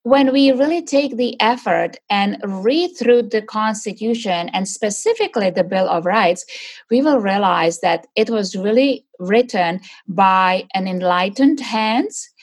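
A middle-aged woman talks in an earnest, searching way. She pauses often and restarts her sentences, answering questions in a relaxed conversational flow at a mid-range pitch. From Czechoslovakia. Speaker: guest.

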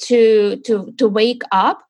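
A woman's voice: fairly high pitch, fairly even intonation, slow pace, a little mellow. Middle-aged woman, interview guest, earnest and searching, frequent fillers. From Czechoslovakia. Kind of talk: conversational interview answers.

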